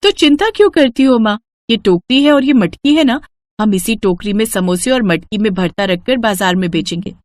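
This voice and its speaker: A woman's voice: animated, normal speed, dry recording, natural voice. A woman voicing a cheerful, confident young daughter selling food with a persuasive manner in a story. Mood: encouraging. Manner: bright, persuasive, high-voiced.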